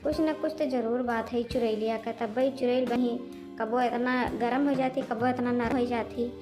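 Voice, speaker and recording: A woman's voice: fairly high pitch, steady tone, normal speed, natural voice. A woman voicing a timid, sly old village mother-in-law in a cartoon comedy, rambling and placating with an Awadhi accent. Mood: muttering, relieved. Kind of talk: amateur cartoon comedy voice acting. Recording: music behind the voice.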